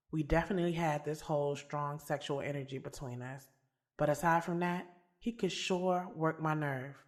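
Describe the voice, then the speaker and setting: A woman's voice: sits low, lightly acted. A woman playing a bold, frank schoolteacher, narrating an audio drama in a conversational, slangy, confiding way. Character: bold, frank, strong-willed adult woman schoolteacher narrating her love life. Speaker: narrator and lead actor in an audio drama series. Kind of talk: first-person dramatic narration, conversational and streetwise.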